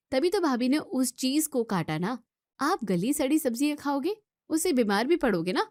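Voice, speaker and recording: Woman acting as outspoken young daughter in a hindi family drama, highish voice, close dry sound, argues back hard. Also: no room sound, lightly acted. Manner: scolding, argumentative, emphatic rhetorical questions. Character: outspoken young student daughter defending her sister-in-law.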